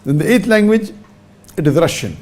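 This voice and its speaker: Man, fairly high voice, mellow dark tone, methodical lecture-style answer, rattles off figures, emphatic pitch jumps, English not his first language. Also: very animated, normal speed. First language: English not his mother tongue. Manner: methodical, reels off figures and rankings one by one; emphatic upward pitch jumps on key words. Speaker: religious speaker answering a question.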